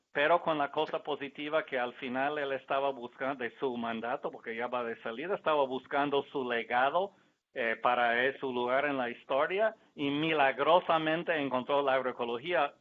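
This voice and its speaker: Man with a Mexican Spanish accent on a tinny line. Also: medium pitch. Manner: measured, critical, candid. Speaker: panelist. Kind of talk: discussion remarks. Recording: tinny phone-like sound.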